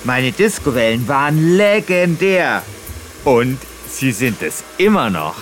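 Proud female salon owner, acted by a woman, lively pitch, theatrical chatty delivery, faint music behind. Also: slow, full character voice. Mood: nostalgic, proud. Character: proud, chatty hairdresser, nostalgic for disco days. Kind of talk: radio play acting.

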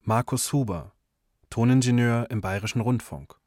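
A man's voice: medium pitch, moderate pace, a little mellow, no room sound, studio quality. A man reading terse scripted titles and captions as an announcer.

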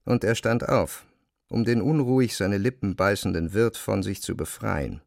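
A man's voice: fairly low pitch, moderate pace, vocal fry, no echo, studio quality. A man narrating dramatised classic literature as a scripted storyteller.

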